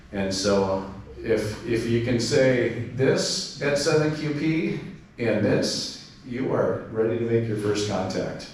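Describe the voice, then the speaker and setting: A man giving an informal club presentation, explaining steps and spelling call signs in radio phonetics, with frequent fillers. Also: mid-range voice. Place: club meeting room. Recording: very echoey room, distant mic, faint noise.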